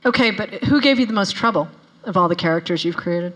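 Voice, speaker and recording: A woman's voice: on the low side, fast, fairly bright tone, rough recording. A woman hosting an interview, asking questions in a chatty, playful way. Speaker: interviewer, host.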